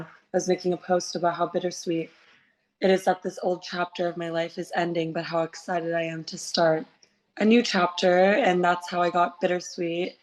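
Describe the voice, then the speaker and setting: A woman in her twenties with a low, raspy voice, chatting eagerly as a musician guest on a video call, full of likes and restarts. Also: rough recording. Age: 20s. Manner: enthusiastic, many likes and restarts. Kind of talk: casual interview chat.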